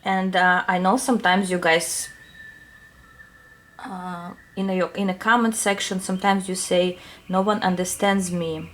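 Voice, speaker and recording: A woman with a Russian accent, a tarot reader answering her viewers' questions in a soft, unhurried casual talk full of small fillers. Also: on the low side, fairly even intonation.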